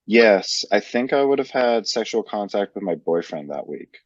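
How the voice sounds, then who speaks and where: A man's voice: on the low side, lively intonation, normal speed, highs cut off, background noise, close to an everyday voice. An actor playing a hesitant man who answers personal questions from a health interviewer with reluctance. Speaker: interviewee in a training role-play.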